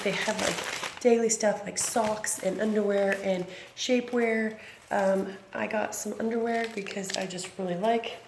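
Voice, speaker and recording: Thirties woman vlogger, hoarse and raspy, loose chatty delivery full of fillers; roomy echo with steady noise behind. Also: medium pitch. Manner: rambling, frequent ums and self-corrections. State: raspy from bronchitis. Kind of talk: casual unboxing chat. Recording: echoey room with background noise.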